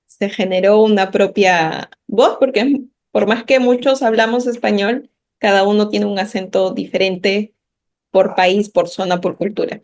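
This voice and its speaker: A woman's voice: medium pitch, fairly even intonation, measured pace, a little mellow. A woman with a Peruvian accent chatting casually as a co-host, choosing her words in a thoughtful, hesitant way. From Peru. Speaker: podcast co-host. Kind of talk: casual conversation.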